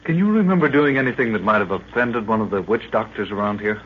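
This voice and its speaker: An actor playing an earnest, anxious young assistant in an old radio adventure, urgent and exclamatory yet respectful. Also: male voice, on the low side, lively intonation, fast talker, slightly rough. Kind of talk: 1940s radio adventure acting. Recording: muffled, hissy old radio recording.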